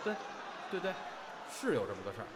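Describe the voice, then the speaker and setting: A man's voice: mid-range voice, very animated, fast, a loud crowd, close to an everyday voice. A man playing an exasperated, bossy senior crosstalk partner in an audio drama, brusque and scolding. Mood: dry straight man. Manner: scolding, brusque, theatrical when reciting. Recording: faint background noise.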